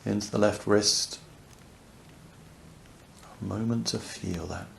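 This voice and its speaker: A man leading a guided yoga nidra relaxation, speaking softly and soothingly, unhurried, with long pauses. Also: lowish voice, fairly even intonation, vocal fry. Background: crackling fireplace ambience.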